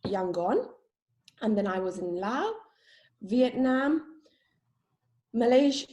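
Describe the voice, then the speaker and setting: A woman's voice: on the high side, expressive, slow, dark tone, highs cut off. A young woman from Sri Lanka, a guest in a casual interview, talking openly and chattily and laughing at herself.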